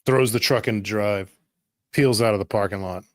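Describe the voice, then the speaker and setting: A man's voice: lowish voice, normal speed, dry recording, studio quality. A man narrating a tabletop roleplaying game as game master, in dramatic, clipped present-tense phrases.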